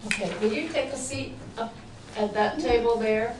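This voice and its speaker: A woman chairing a town board hearing. She runs it briskly and drops a dry joke now and then. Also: fairly high pitch. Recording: echoey room, distant mic, clear noise.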